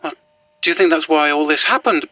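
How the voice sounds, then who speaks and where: An actress playing an exhausted, shaken young archivist in a horror audio drama, hesitant and stubborn. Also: female voice, low voice, fairly quick, pushed and bright, no room sound. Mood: weary, shaken. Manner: hesitant, stammering, sighing. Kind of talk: found-footage horror audio drama acting.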